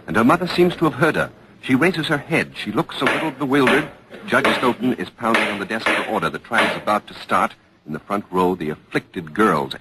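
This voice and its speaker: Man acting as serious radio newsman, deep voice, formal, measured, live commentary, slightly noisy old broadcast. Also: close to an everyday voice. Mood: watchful, descriptive. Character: serious on-the-scene radio news reporter at trial.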